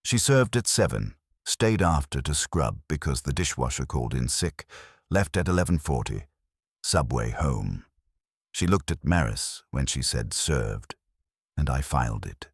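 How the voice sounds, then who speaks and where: A man reading a first-person detective mystery as an audiobook narrator, with wry, measured storytelling. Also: rumbling low, very animated, slightly rough, no room sound, clean studio sound.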